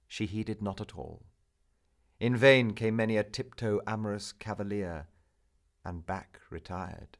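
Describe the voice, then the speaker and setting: Slow, low-voiced man reciting poetry. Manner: solemn, theatrical, measured delivery with drawn-out phrases. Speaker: poetry reader. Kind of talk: dramatic recitation of a narrative poem.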